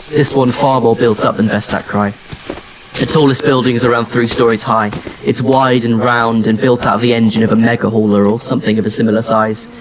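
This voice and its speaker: A man's voice: medium pitch, lightly acted. Man acting as somber wanderer narrating, noisy ambience. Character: somber, determined male wanderer in science fiction. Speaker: narrator and lead character in an audio drama. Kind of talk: first-person scripted narration.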